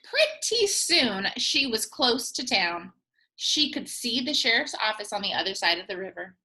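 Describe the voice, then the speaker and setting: A woman teacher reading a picture book aloud to young children, expressive and full of playful asides. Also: fairly high pitch, normal speed. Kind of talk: children's storytime read-aloud.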